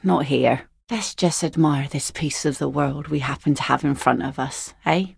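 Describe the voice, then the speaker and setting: A woman voicing an easygoing, philosophical seafaring mother in a video game, with a wry, gently teasing, folksy manner. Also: sits low, normal speed, creaky, slightly rough, dry recording, clean studio sound, full character voice. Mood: wry, gentle. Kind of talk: naturalistic video game dialogue acting.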